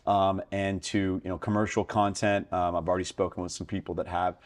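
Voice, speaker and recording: A man's voice: deep voice, flat delivery, a little fast. A man hosting an interview, thinking aloud in a rambling way with many fillers and restarts. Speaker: podcast host. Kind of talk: conversational interview.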